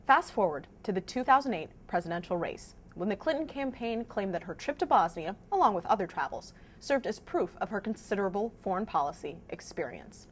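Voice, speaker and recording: A woman's voice: mid-range voice, very animated, brisk, hardly any pauses, a little hiss or hum. A woman hosting a scripted news explainer, presenting to viewers in an emphatic, wry way. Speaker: host of a fact-checking news vidcast.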